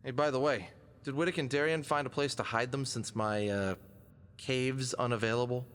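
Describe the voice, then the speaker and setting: A man's voice: medium pitch, fairly even intonation, normal speed, fairly bright tone, clean tone, close to an everyday voice. A man playing a confident, wisecracking fox adventurer in an audio drama, quippy and self-assured in his delivery. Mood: explaining. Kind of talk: scripted audio drama dialogue. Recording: long hall-like reverb.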